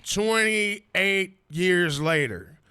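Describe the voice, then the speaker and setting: A man's voice: high voice, expressive, very slow, bright, forceful tone, smooth. A man, telling his story as a podcast guest in emphatic, slang-heavy casual talk. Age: 40s. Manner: emphatic, repetitive street slang, frequent "you know what I mean".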